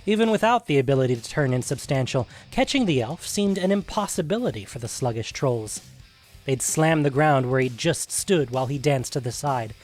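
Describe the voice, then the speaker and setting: A man's voice: fairly high pitch, a little mellow. A man reading scripted narration for a fantasy adventure story.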